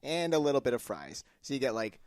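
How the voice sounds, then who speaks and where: A man's voice: medium pitch, big pitch swings, fast, darkish tone, no room sound, studio quality. A man chatting as a podcast guest, going off on joking little rants. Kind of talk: casual chat, joking rants. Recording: clear music under the voice. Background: music.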